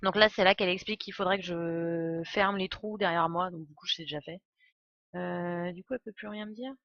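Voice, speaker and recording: A woman's voice: fairly low pitch, fairly even intonation, a little fast, a bit bright, no echo, muffled recording. A woman doing casual live video game commentary, playful and joking, with slangy talk. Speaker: game streamer. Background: faint video game music and dialogue.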